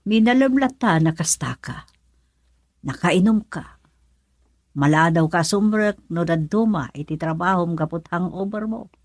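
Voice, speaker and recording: A woman's voice: on the low side, lively intonation, unhurried, no echo. A woman acting in an Ilocano radio drama as a worried middle-aged mother, fretful and admonishing. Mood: worried scolding. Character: worried, scolding mother of a grown son.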